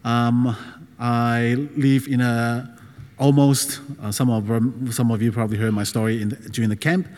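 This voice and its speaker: A man's voice: medium pitch, little pitch movement, normal speed, a little mellow. A middle-aged man from Taiwan who moved to Australia as a child, a non-native English speaker, preaching informally and hesitantly as a guest. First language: not English. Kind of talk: informal personal sermon. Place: large reverberant room with a gathering. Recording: reverberant hall.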